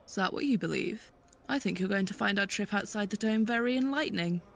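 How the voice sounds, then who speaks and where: A woman's voice: mid-range voice, fairly quick, creaky, slight background noise, close to an everyday voice. A woman playing a cynical prison officer in a dystopian audio drama, wry and matter-of-fact. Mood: wry, mocking.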